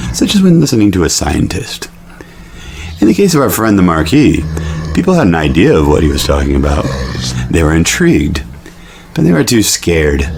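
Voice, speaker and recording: Male narrator, very low and animated, grandiose mock-documentary read over music. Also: background noise. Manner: grandiose, wordy.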